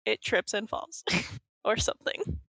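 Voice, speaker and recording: A woman's voice: medium pitch, animated, slow pace, vocal fry, a bit bright, dry recording. A woman chatting casually as a tabletop game player, tentatively piecing her ideas together aloud. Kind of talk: casual improvised game chat.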